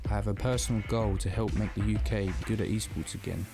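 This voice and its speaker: A man from England, a pro esports player telling his story in a relaxed, matter-of-fact interview voiceover. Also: on the low side, fast talker, vocal fry. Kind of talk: documentary-style interview voiceover.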